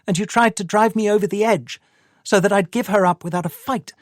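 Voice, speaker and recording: A man reading an audiobook, voicing a distraught young woman with shrill, tearful pleading. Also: fairly quick, dry recording, studio quality, close to an everyday voice. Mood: accusing. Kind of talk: audiobook dialogue.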